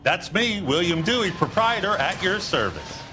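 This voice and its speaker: An actor playing a slick, evasive 1940s American used-car dealer in a crime game, with smooth, defensive salesman patter. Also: high-pitched, big pitch swings, normal speed, background noise. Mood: glib, ingratiating. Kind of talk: dramatic game acting, period crime story.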